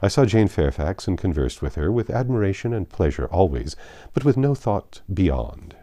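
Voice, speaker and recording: A man acting a frank, emphatic country gentleman. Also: sits low, sing-song, normal speed, no echo, studio quality. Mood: frank, earnest. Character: frank, composed English country gentleman, earnest and brusque.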